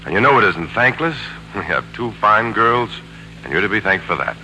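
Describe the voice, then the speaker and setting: A man's voice: fairly low pitch, sing-song, moderate pace, sharp tone, slight background noise, exaggerated voice, rough recording. A male actor playing a self-assured, cajoling married lawyer in 1940s American radio drama, glib and commanding. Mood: stern, then placating. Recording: vintage 1940s radio broadcast with music.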